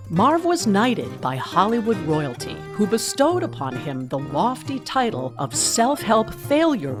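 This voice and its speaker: Animated woman narrator over music. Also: mid-range voice. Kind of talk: scripted documentary narration.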